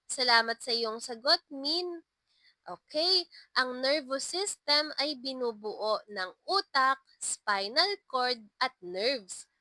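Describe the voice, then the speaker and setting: A woman's voice: sits high, slow pace, bright, forceful tone, dry recording, full character voice. A woman voicing a kind, encouraging female elementary school teacher in a narrated story.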